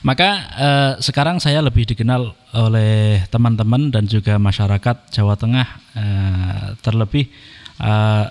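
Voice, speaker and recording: Measured man in his thirties. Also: on the low side, fairly even intonation, darkish tone, long reverb tail. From Indonesia. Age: 30s. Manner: measured and polite, frequent "eh" and "ya" fillers. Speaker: podcast guest, Quran reciter. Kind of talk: casual podcast conversation.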